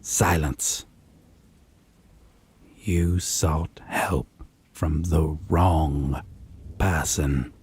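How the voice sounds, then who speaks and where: A man playing a possessive, condescending vampire lover in a roleplay audio drama, haughty and deliberate with a menacing, husky delivery. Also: a bass voice, fairly even intonation, darkish tone, a little hiss or hum, full character voice. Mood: scornful, menacing. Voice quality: breathy, husky.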